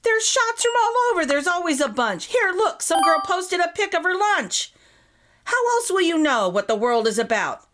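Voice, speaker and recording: Woman as an excitable, very high-pitched storybook boy. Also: moderate pace. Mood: excited, insistent. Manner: excitable, pushy. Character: eager young boy hooked on phone apps. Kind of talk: children's storybook character voice.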